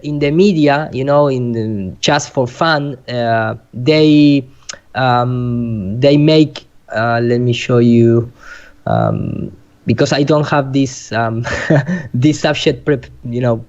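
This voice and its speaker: Guy, explaining stuff slowly in halting english, dull lo-fi call audio. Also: medium pitch, a little mellow. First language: Spanish. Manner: halting, searching for words in non-native English. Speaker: presenter. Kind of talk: informal explanatory presentation.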